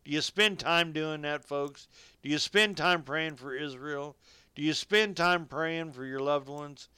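Slow-paced man preaching, very clear voice. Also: a little high, pushed and bright, dry recording. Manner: exhorting, addressing listeners directly as folks; folksy, colloquial phrasing. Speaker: radio preacher. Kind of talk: radio sermon with scripture reading.

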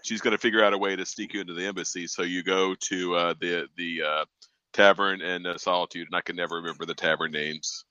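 A man's voice: sits low, fairly even intonation, quick pace, sharp tone, clear, resonant tone, no room sound. A man on a podcast panel, casually talking through a game quest over a dull lo-fi mic.

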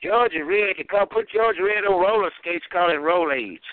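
High-voiced male caller, mocking roast, phone-line audio. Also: moderate pace. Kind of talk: casual phone chat. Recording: tinny phone line, faint hiss.

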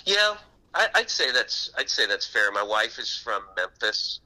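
A man's voice: medium pitch, sing-song, measured pace, sharp tone, rough recording. A man being interviewed as an author, telling anecdotes in a conversational radio style.

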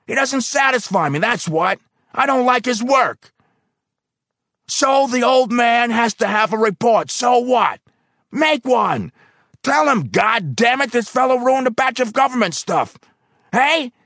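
A man voicing a gruff, hot-tempered middle-aged paint-plant foreman who yells and blusters in exasperation, in an audiobook reading. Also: a little high, expressive, brisk, no echo, clean studio sound, voice pushed up. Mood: shouting.

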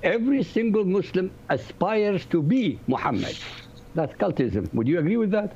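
A man from Iraq, a native Arabic speaker with an Iraqi Arabic accent, hosting a talk show in an emphatic, animated way. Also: sits high, normal speed. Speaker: host. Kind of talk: casual talk show chat. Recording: dull lo-fi audio with faint noise.

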